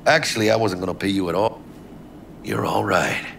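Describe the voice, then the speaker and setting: A man's voice: on the low side, moderate pace, gravelly, a bit bright, slight background noise. A man playing a brash, friendly streetwise smuggler in a cyberpunk video game, with a Mexican American accent and slangy, emphatic talk peppered with Spanish swearing. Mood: blunt.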